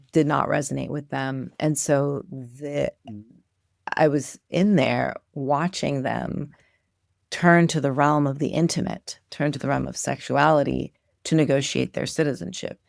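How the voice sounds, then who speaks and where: A woman in her forties talks as an interview guest, spinning long stories full of "like" and "you know". Her voice has a gravelly, creaky edge. The sound is dry and close, with no room around it. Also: low-pitched, a little slow, no echo. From Iran. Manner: animated storyteller, many "like" and "you know" fillers. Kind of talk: casual interview storytelling.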